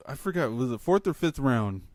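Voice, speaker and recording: A young man co-hosting a casual podcast, talking bluntly with lots of swearing and animated opinions. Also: medium pitch, a little fast, dry recording, clean studio sound. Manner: blunt, profane, animated fight talk. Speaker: podcast co-host. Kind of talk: casual chat.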